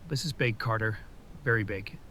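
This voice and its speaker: A man voicing an earnest male military officer in a fan audio drama, measured and pleading. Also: on the low side, noisy background, lightly acted.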